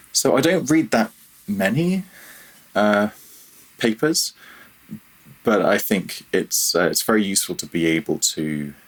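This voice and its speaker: A man's voice: sits low, a bit bright, husky, poor audio. A man chatting informally as a technical interview guest, often stopping to rephrase himself as he goes. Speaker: guest, freelance audio software developer. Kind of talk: casual technical interview chat.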